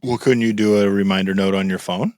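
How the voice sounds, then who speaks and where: Deep-voiced deadpan man. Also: fast, dry recording, studio quality. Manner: deadpan, earnest mock-serious. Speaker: podcast co-host. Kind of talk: casual chat.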